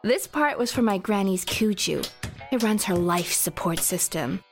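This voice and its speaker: An actress playing a sardonic, no-nonsense young mechanic with dry retorts in a comedy audio drama. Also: female voice, medium pitch, expressive, measured pace, over music. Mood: indignant, firm.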